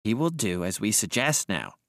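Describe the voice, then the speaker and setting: A man's voice: very animated, normal speed, a bit bright, no echo, studio quality. A man voicing a sinister, complacent little man in an audiobook story, precise and coolly commanding. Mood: calm control. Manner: raised pitch, precise, complacent. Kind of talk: dramatic audiobook character voice.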